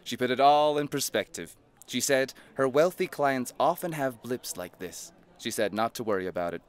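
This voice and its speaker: A man's voice: mid-range voice, moderate pace, close to an everyday voice. An actor playing a wealthy, dismissive, dryly irritated man in an audio drama. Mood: defiant. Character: wealthy cynical modern man, irritated, Scrooge-like skeptic.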